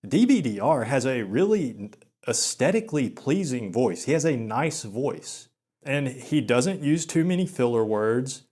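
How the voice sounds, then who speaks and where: A young man with a mild Southern drawl talks slowly in a flat, monotone voice. He stammers and leans on filler words in a resigned, gloomy monologue. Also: mid-range voice, slightly rough. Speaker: video essayist. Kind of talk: self-deprecating monologue.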